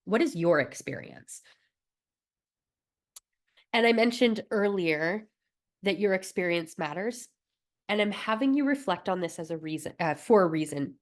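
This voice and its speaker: A woman's voice: lowish voice, lively intonation, measured pace, long pauses. A young woman from Alberta presenting a recorded wellbeing workshop, coaching listeners in an encouraging way with light laughs and fillers. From Alberta, Canada.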